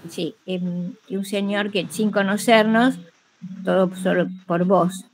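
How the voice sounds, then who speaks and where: A woman with an Argentine accent giving a casual personal account in a group call, modest and halting, with many filler words. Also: medium pitch, fairly even intonation, a little hiss or hum, poor audio. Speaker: workshop participant, teacher.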